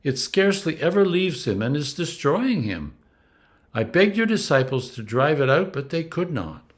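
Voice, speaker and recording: A man's voice: fairly high pitch, sing-song, normal speed, voice pushed up. A man voicing a desperate father from a Bible story, imploring urgently. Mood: desperate pleading. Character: desperate pleading father in a crowd.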